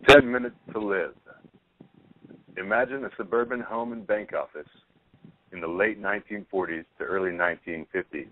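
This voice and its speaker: A man's voice: low voice, normal speed, pauses often. A man narrating a play script, reading out the stage directions. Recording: tinny phone-line audio.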